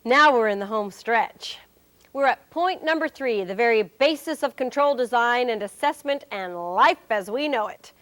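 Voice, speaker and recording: A woman's voice: a little high, lively intonation, normal speed, fairly bright tone. A woman acting as a wry, cheerful presenter, giving scripted instruction in a corporate training film. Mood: instructive, playful.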